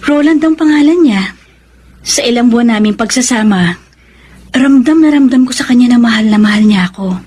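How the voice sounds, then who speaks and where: An actress with a Filipino accent playing an expressive, warm, middle-aged divorced woman newly in love in a radio drama. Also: on the high side, a little fast, slightly rough. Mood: content, consoling.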